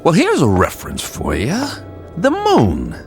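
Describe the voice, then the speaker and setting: A man playing an irritable, weary space traveller in an audio drama, gravelly and sardonic, with dramatic emphasis. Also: a little high, wildly swooping pitch, unhurried, over music. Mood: sardonic, irritated. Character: weary, irritable male space traveller confined aboard ship. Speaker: lead actor in an audio drama. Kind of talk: radio drama dialogue and first-person narration.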